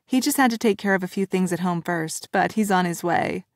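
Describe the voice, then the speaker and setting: A woman's voice: fairly low pitch, a little fast, no room sound, studio quality, close to an everyday voice. A woman voicing a giddy young woman newly in love, gushing and teasing her friend.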